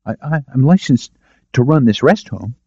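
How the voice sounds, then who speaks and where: A man's voice: mid-range voice, lively intonation, moderate pace, creaky, warm tone, husky, no echo, dull audio, voice pushed up. A man voicing a cowed, apologetic older rest-home director who stammers defensively, in an audiobook. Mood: flustered. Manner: stammering, hesitant.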